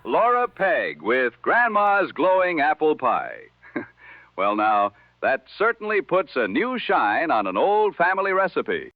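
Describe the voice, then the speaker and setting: Man acting a lively old-time radio host. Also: a little high, a little slow, heavily acted. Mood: wry, cheerful. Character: wry, upbeat male 1960s radio host. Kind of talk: 1960s radio show banter. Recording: dull lo-fi vintage radio sound.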